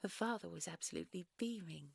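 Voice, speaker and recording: Woman acting as a breathy, genteel fairy princess. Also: lowish voice, lively intonation, fast, no echo, exaggerated voice. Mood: gently chatty. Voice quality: breathy, soft. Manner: genteel, coy, old-fashioned polite phrasing. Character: gentle, chatty, secretive fairy princess, sweetly evasive. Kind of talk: intimate audio roleplay acting, one side of a dialogue.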